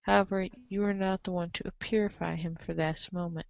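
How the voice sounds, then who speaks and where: A woman narrating a comic story aloud in an expressive read-aloud style, on a slightly dull recording. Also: fairly low pitch, brisk, vocal fry, no room sound. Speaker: narrator of a group webcomic reading.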